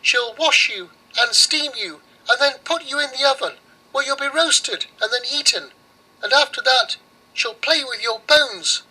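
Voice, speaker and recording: A man's voice: very high-pitched, measured pace, bright, forceful tone, husky, pitched above the performer's own voice, heavily acted, poor audio. A man voicing a small helpful mouse in a storybook, pleading and urgent. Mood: urgent warning. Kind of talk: storybook character voice.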